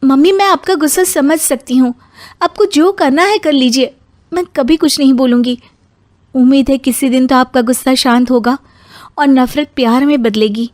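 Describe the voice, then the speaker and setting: A woman voicing a gentle, patient young daughter-in-law who speaks earnestly and politely in an animated family drama. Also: high voice, normal speed, dry recording, voice pushed up, lightly acted. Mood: earnest, pleading.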